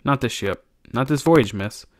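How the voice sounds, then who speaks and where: A man's voice: medium pitch, expressive, moderate pace, no echo, natural voice. A man voicing a decrepit old sailor in an audiobook, deferential, hesitant and murmuring. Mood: hangdog, warning. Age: older. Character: decrepit old sailor, cringing, humble, dutiful. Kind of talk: audiobook character voice.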